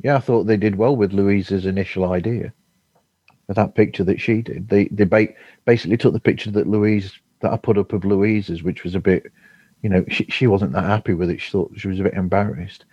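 A man chatting casually as a podcast host, halting and rambling, often chuckling. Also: sits low, fairly even intonation, darkish tone, slightly rough. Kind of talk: casual remote chat. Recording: dull, roomy remote call audio.

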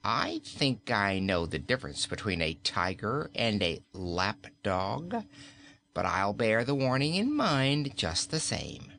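A man's voice: fairly low pitch, very animated, slow, creaky, pushed and bright, heavily acted. A man reading a storybook aloud, voicing a cruel queen who teases and gives orders in an imperious way. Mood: haughty. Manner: teasing, imperious. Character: cruel, mocking queen, a woman. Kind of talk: audiobook character voice.

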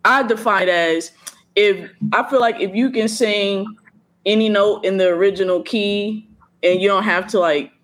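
High-pitched man fumbling for his questions. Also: a bit bright. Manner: halting, searching for words, many restarts. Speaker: show co-host. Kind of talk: casual interview questions.

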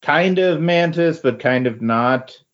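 A man chatting casually as a podcast host, halting and repeating words as he goes. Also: fairly high pitch, lively intonation. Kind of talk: casual chat, reacting to co-host. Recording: dull, lo-fi voice recording.

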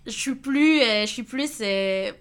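A woman's voice: a little high, measured pace, clear, resonant tone. A woman from Montreal, candidly sharing personal stories in a casual chat. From Montreal, Quebec.

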